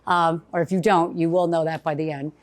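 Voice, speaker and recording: A woman's voice: deep voice, fairly even intonation, brisk. Bubbly chatty woman travel agent. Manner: bubbly, chatty, loud cheerleader voice. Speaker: travel agent hosting an event. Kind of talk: casual event presentation. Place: bistro event space.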